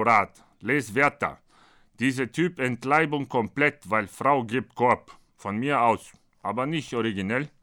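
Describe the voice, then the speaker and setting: A man voicing a blunt, naive Brazilian who talks in choppy, deadpan broken German, performed as a comic monologue. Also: mid-range voice. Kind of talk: comic monologue read from his own book.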